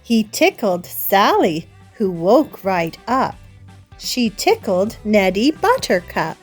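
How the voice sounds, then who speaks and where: A woman hosting story time, reading a picture book aloud to young children in a deliberate, sing-song manner. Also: mid-range voice, sing-song, slightly rough, faint music behind. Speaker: children's storybook reader and host.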